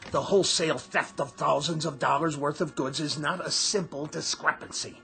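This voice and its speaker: A man's voice: on the high side, fairly even intonation, normal speed, vocal fry, a bit bright. A man acting in a radio drama as a self-righteous, scornful store owner with a pompous, florid, sarcastic manner. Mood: indignant, pompous. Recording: music bed behind dialogue.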